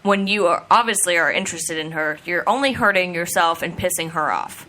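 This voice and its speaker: A woman's voice: on the low side, brisk, bright, forceful tone, slight background noise. A woman in her twenties co-hosting a radio show, giving frank, crude advice. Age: 20s. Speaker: radio co-host. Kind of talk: talk show banter.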